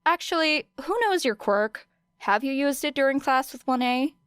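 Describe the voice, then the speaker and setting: A woman's voice: sits high, lively intonation, normal speed, fairly bright tone, dry recording, clean studio sound, voice pushed up. A woman voicing a brash, teasing teenage girl student with blunt, sarcastic delivery in an audiobook. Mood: scheming. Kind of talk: audiobook character dialogue.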